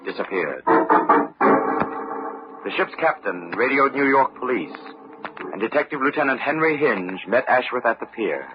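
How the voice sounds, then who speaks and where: A man's voice: medium pitch, highs cut off. A man giving scripted dramatic narration as the storyteller and announcer of an old-time radio mystery. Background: music.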